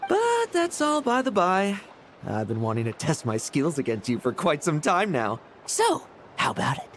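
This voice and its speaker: An actor voicing a polite, duel-hungry young male fighter in a video game, smooth and theatrical. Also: medium pitch, wildly swooping pitch, moderate pace, slight background noise. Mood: confident, challenging. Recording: game audio with music behind.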